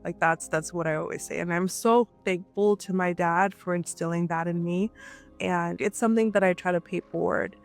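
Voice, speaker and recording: A woman's voice: lowish voice, fairly even intonation, moderate pace, faint music behind. A woman from Nigeria, a guest entrepreneur, talking animatedly and emphatically in a casual interview, often checking in with "right?".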